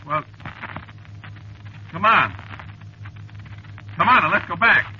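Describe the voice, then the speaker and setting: An actor playing a working-class cab driver in a 1940s radio drama, with colloquial, ungrammatical speech. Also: male voice, high-pitched, expressive, takes it slowly, bright, forceful tone, exaggerated voice. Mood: panicky pleading. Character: frightened, pleading working-class cab driver, later eerily resigned. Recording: muffled, noisy old radio recording.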